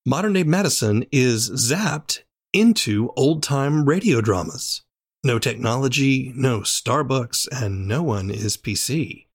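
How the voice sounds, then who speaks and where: A man's voice: mid-range voice, animated, takes it slowly, husky. A man hosting a podcast, reading scripted introductions in a narrator's style. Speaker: podcast host.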